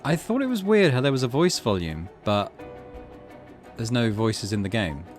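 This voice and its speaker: A man's voice: medium pitch, sing-song, normal speed, soft background music. A man giving casual let's play commentary, musing and thinking aloud in questions. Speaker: gaming video host.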